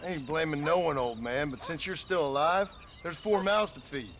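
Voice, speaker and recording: An actor playing a gruff, dry-spoken reformed outlaw rancher and father with an American Western accent in a video game. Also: on the high side, normal speed, a bit bright, a little hiss or hum, lightly acted. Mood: stern, irritated. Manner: dry, terse. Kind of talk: video game cutscene acting.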